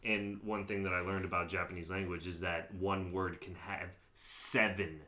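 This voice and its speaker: A man's voice: sits low, level tone, moderate pace, a crowd in the background. A man chatting casually on a podcast, explaining things in a conversational way.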